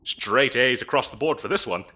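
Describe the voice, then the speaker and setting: Man playing a fussy, animated demon bureaucrat. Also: mid-range voice, normal speed, slightly rough. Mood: intrigued. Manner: officious, hesitant, chatty, dryly polite. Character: fussy, bureaucratic male demon interviewer in hell. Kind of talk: comedic audio roleplay acting, addressing the listener.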